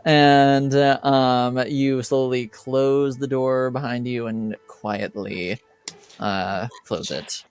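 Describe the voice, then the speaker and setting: A man narrating a tabletop game as game master, improvising hesitantly with many pauses. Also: mid-range voice, steady tone, smooth, no room sound.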